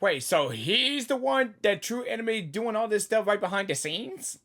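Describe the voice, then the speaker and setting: A gaming host giving casual live reactions in an excitable, playful, repetitive way. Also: medium pitch, normal speed. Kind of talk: casual live game commentary.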